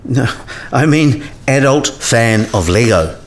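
Radio play professor, male actor as old, kindly, eccentric philosopher, mid-pitched, swooping intonation, teaches by musing aloud. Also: animated, takes it slowly. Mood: friendly. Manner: musing, didactic. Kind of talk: scripted radio play dialogue.

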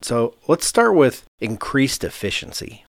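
A man's voice: mid-range voice, very animated, a little slow, gravelly, no echo, studio quality. A man hosting an interview podcast in a conversational style. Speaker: podcast host.

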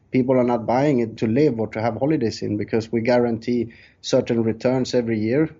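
A man's voice: medium pitch, dark tone, breathy edge. A man in his thirties from Sweden with a Swedish accent, answering interview questions conversationally as a guest. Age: 30s. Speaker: interview guest, real estate developer. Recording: dull, lo-fi call audio.

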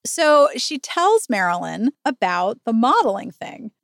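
A woman's voice: fairly high pitch, sing-song, unhurried, creaky, dry recording, studio quality. A woman hosting a chatty, comic book recap podcast, sarcastic and emphatic in how she tells it. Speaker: podcast host.

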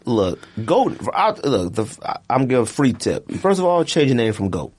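Man, American accent, podcast host, blunt, opinionated, slangy, emphatic repetition, casual banter, mid-pitched voice. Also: big pitch swings, moderate pace, darkish tone, breathy edge.

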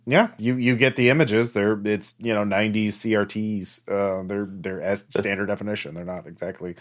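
A man's voice: fairly low pitch, fairly even intonation, moderate pace. A man speaking as game master, narrating a tabletop game in an improvised, conversational way.

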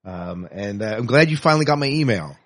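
A man's voice: fairly low pitch, expressive, brisk, a bit bright. A man hosting a podcast in a casual, conversational interview style. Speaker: podcast host.

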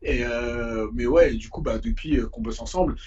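A man's voice: mid-range voice, moderate pace, lo-fi sound, crowd noise. A young man from Paris, speaking as an interviewed musician in an informal, slangy, hesitant way. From Paris, France. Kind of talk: casual conversational interview.

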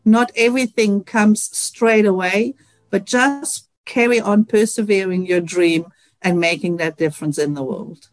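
A woman's voice: medium pitch, measured pace, no room sound. A middle-aged woman with a Dutch accent, an engineering professor answering interview questions earnestly and reflectively, with many "you know" fillers. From Netherlands.

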